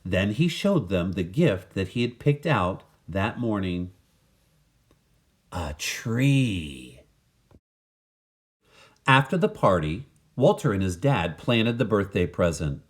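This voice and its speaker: A man reading a children's story aloud in expressive scripted narration. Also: fairly low pitch, slow, pauses often. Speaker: storybook reader.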